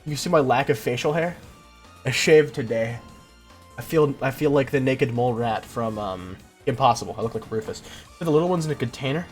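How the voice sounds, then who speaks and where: A man's voice: medium pitch, a little fast, faint music behind. A young adult man livestreaming in a casual, slangy, profane and self-deprecating way while he chats with viewers. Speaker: livestreamer, host.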